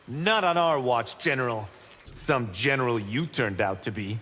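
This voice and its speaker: A man's voice: mid-range voice, measured pace, a bit bright, in a large hall. A man playing a cocky, wisecracking young monk fighter in a video game, confident and bantering. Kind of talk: video game character acting.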